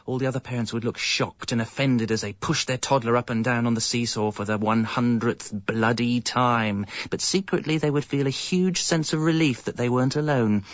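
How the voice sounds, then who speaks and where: Wry, exasperated English dad narrator played by a male actor, confessional radio comedy delivery, mid-pitched, slightly dull sound. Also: no echo, close to an everyday voice. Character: discontented, evasive young father and jingle writer. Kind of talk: scripted first-person comic narration.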